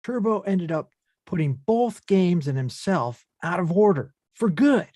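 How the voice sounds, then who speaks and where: A man playing an earnest, anxious, chivalrous small repairman from a video game, polite and excitable, in an animated film table read. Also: high-pitched, measured pace, dry recording, studio quality.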